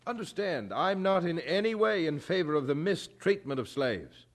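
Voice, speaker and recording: A man acting in an audio drama as a firm, earnest colonial chaplain, speaking in a formal, measured and articulate way. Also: on the high side, sing-song.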